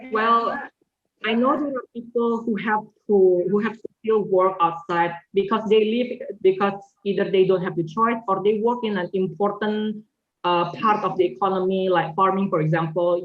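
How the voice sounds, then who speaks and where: A woman's voice: medium pitch, moderate pace, narrow, tinny audio. A young woman giving formal, persuasive speech as a competition finalist, earnest and structured. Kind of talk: formal persuasive public speaking. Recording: echoey room sound.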